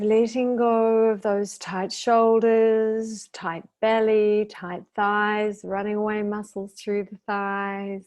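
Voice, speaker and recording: Slow-paced woman guiding a meditation. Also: mid-range voice. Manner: soothing, gentle, with long pauses between short phrases. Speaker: guided meditation teacher and intuitive therapist. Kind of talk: guided meditation, slow calming instruction.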